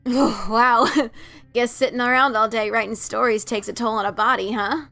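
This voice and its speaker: A woman plays a brash, adventurous pegasus mare in a dramatic fan fiction reading. Her voice sits fairly high with a gravelly fry, and she is theatrical and expressive over faint music. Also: moderate pace. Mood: winded. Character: brash adventurous pegasus mare, writer, bickering older sibling.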